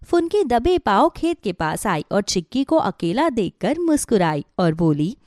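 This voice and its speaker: A woman's voice: medium pitch, very animated, a little slow, a little mellow, dry recording, clean studio sound. A woman narrating a scripted children's animal story.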